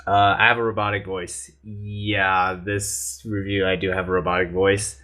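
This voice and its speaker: Bright-voiced man in casual game chat. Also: sits low, flat delivery, normal speed. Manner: earnest, rambling with restarts. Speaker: podcast panelist.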